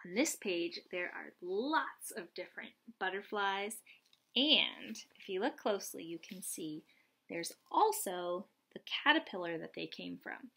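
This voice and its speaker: Slow-paced young woman reading to children. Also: fairly low pitch, lively intonation, creaky, fairly bright tone, slightly rough. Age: young adult. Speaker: teacher reading aloud to second graders. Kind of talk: children's read-aloud and lesson. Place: small lamp-lit room at home, indoors.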